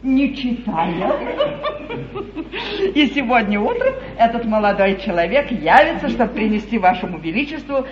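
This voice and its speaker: An actress playing a scheming, flattering duchess in a 1950s stage comedy, speaking in an ingratiating, courtly, theatrical way. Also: female voice, on the high side, normal speed, crowd noise, lightly acted. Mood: smug, flattering. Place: theatre stage, recorded live from the hall. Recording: echoey, muffled 1950s live theatre recording.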